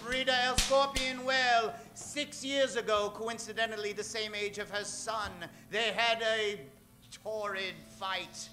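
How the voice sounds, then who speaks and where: A man's voice: high voice, steady tone, a little slow, bright, forceful tone, soft background music. A man narrating an old-time radio comedy in a mock-dramatic way.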